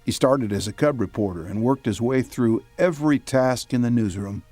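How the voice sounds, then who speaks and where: A man's voice: mid-range voice, moderate pace, a little mellow, no room sound, soft background music. A man hosting and announcing an audio drama series in a scripted radio narration style. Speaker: announcer and host.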